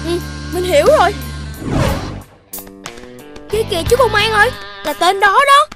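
A woman voicing an eager but timid young puppy schoolboy in a children's cartoon, with an expressive childlike delivery. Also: very high voice, measured pace. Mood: determined. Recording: music behind the voice.

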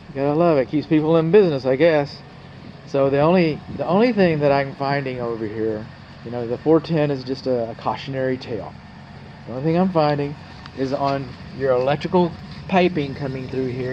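A man's voice: mid-range voice, a little mellow. Folksy male home inspector outdoors. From North Texas. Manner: folksy, digressive, talks to the viewer. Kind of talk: walkthrough commentary while filming. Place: outdoors beside a house. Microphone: handheld camera mic. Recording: muffled outdoor camera audio with hum.

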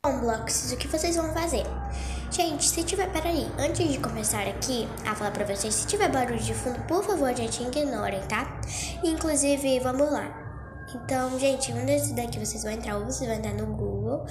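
A woman's voice: sits high, moderate pace. A young woman with a Brazilian Portuguese accent, chatty and a little congested from a cold, walking viewers through a phone game tutorial. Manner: chatty, keeps saying "gente" and "tá?". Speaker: Roblox tutorial youtuber. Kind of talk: casual screen-recorded tutorial. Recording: echoey room with music behind.

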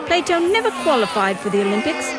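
A woman's voice: high voice, sing-song, fast, over a noisy crowd. A woman narrating a comic script in a wry, theatrical manner. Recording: loud music behind the voice.

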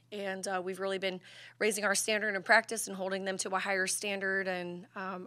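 Woman coach speaking in an even, steady tone. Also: mid-range voice, no room sound. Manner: steady, even delivery with many fillers and restarts. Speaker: head basketball coach. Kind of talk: postgame press conference answers. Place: press conference room at a table. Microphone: table microphone.

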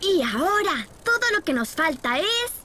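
Cheerful high-voiced little cartoon boy. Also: sing-song, normal speed, background noise. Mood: explaining. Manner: friendly, explaining step by step. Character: cheerful helpful little boy who draws. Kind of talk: Spanish-dubbed preschool cartoon acting.

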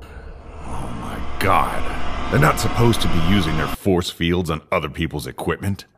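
An actor playing a stern, worried commander with a gravelly voice and terse, commanding delivery. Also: male voice, sits low, sing-song, a little slow, noisy background, heavily acted. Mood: alarmed. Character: stern, worried commander in charge of security team. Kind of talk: audio drama acting.

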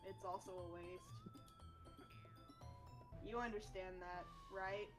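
A woman voicing a timid, refined teenage schoolgirl in a visual novel, earnest and formal in a hushed tone. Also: medium pitch, fairly even intonation, slow, music in the background, pitched below the performer's own voice, natural voice. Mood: earnest, defensive. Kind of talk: amateur visual novel voice acting.

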